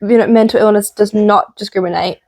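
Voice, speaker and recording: Woman, medium pitch, podcast co-host; conversational, supportive, agreeable delivery. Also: steady tone, brisk, a little mellow. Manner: agreeing, affirming listener.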